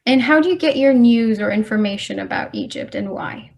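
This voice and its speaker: A woman interviewer with a fairly high voice. She asks her questions softly and with curiosity, keeping her turns short. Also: expressive, fairly quick, creaky.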